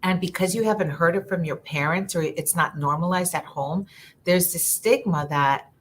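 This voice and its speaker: A woman's voice: lowish voice, normal speed, a little hiss or hum. A woman from Ecuador, a social worker, talking earnestly in a live conversation and often repeating words while she thinks.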